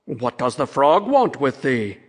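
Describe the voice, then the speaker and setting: A man's voice: mid-range voice, very animated, unhurried. A man voicing a stern, fatherly king in a storybook, formal and commanding, with long reverb. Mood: concerned. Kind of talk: storybook character voice.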